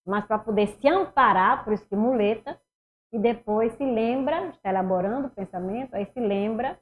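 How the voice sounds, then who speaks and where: A middle-aged woman with a Northeastern Brazilian accent, teaching an animated online exam-prep class and talking students through each step. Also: mid-range voice, slight background noise. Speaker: Portuguese teacher. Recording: somewhat dull lo-fi sound.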